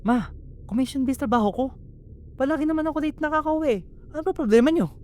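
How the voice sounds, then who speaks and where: A man's voice: very high-pitched, fast, a little mellow. A man with a Filipino accent playing a defensive, overworked older brother in an amateur radio drama. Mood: irritated.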